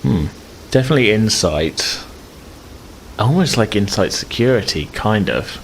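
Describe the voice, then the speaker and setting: A low-pitched man runs a tabletop role-playing game in a casual way. He feels his way through sentences, hesitating and thinking aloud. There is clear noise and room ambience behind him. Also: very animated, a little slow, low-quality recording. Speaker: game master.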